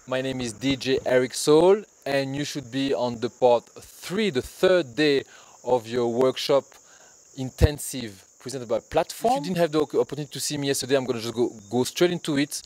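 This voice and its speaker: A man's voice: medium pitch, normal speed, a little mellow, rough recording. A man in his forties from Rwanda, a DJ giving an earnest, reflective talk to workshop students. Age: 40s. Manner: earnest, reflective, speaks in repeated lists. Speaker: DJ leading a workshop.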